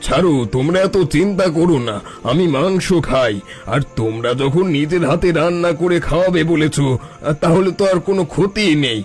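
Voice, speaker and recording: A man playing a high-pitched sly fake holy man. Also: fairly quick, lightly acted. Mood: ingratiating. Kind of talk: Bengali cartoon folktale acting. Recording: music bed behind the voice.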